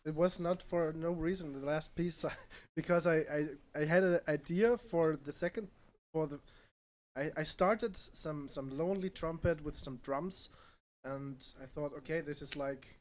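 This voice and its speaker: A man who composes music, talking casually on a livestream and haltingly searching for his words. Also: a little high.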